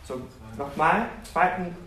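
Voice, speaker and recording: Animated preacher in an echoey hall. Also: male voice, high voice, slow, a little hiss or hum. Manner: animated, self-deprecating, asks the congregation questions. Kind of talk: sermon with personal storytelling. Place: church hall with congregation. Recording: roomy, distant church recording.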